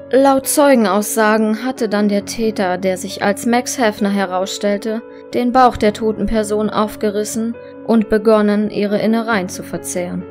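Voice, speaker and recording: Formal female detective voiced by an actress reading a case report, slightly high pitch, music underneath, audio drama. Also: darkish tone, natural voice. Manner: matter-of-fact, formal.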